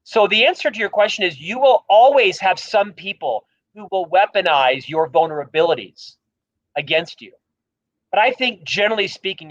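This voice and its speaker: A man with a high, light voice and a stutter speaks emphatically and self-deprecatingly. The sound is dull and lo-fi, like a livestream call. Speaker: author and guest speaker. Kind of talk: livestream interview talk.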